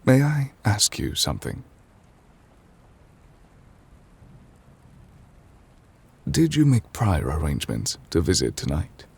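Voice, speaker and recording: A man playing an ancient, weary chief judge in an intimate ASMR roleplay, formal and gentle, speaking in measured, hesitant phrases. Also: huge pitch swings, full character voice. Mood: gently curious. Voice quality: husky, breathy low voice. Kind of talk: intimate ASMR audio roleplay, slow dramatic monologue.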